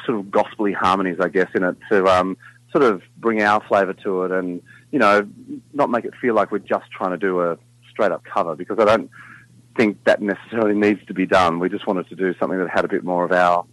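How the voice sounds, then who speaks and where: A middle-aged man with a deep baritone talks in an easygoing, meandering way, often restarting his sentences. His voice is fairly low and comes across close and dry, with no room sound. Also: no echo, rough recording. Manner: relaxed, rambling with many fillers and restarts. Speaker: singer in a vocal group, guest. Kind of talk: casual interview chat.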